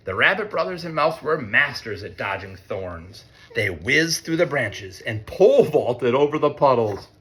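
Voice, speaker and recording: A man narrating a children's storybook interactively, expressive and playful, pausing to put questions to his listeners. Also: medium pitch, a little hiss or hum. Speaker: read-aloud storyteller, teacher.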